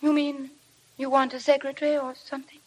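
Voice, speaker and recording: An actress playing a shy, devoted young bride in 1950s radio drama style, polite, hesitant and self-effacing. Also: female voice, sits high, flat delivery, brisk, slight background noise, close to an everyday voice. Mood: anxious, pleading. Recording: vintage radio broadcast with music.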